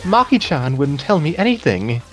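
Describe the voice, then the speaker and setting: A man's voice: a little high, sing-song, moderate pace, fairly bright tone, faint music behind, voice pushed up. Man putting on a haughty, teasing young anime woman. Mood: teasing, probing. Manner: affected, haughty delivery.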